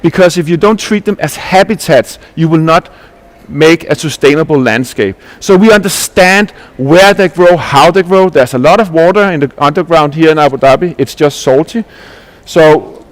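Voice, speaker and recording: A man from Denmark speaks with a somewhat high-pitched voice. He gives an earnest conference presentation as a landscape architect. He often restarts his sentences and asks rhetorical questions. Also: moderate pace.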